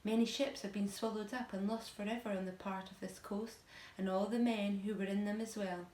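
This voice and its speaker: A woman reading a children's story aloud like a teacher to pupils, in a dramatic, emphatic, expressive storytelling style. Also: mid-range voice, brisk, reverberant.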